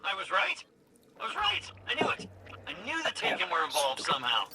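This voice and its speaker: An actor playing an eager, shifty little robot informant in a video game, stammering and repeating words excitably. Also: male voice, high voice, a little fast, heavy background noise. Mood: excited. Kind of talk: animated game dialogue.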